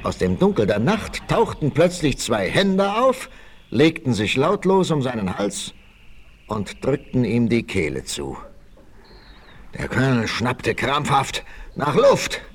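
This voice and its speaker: A man's voice: medium pitch, sing-song, slow, breathy edge, full character voice. A man narrating a 1960s German radio play in dramatic scripted storytelling. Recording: 1968 vinyl record, faint noise.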